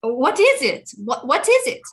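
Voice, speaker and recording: A woman's voice: high voice, big pitch swings, measured pace. An actress playing a proud, brooding queen mother in an audio play, resentful of her critics, with a regal, formal and dramatic delivery. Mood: urgent.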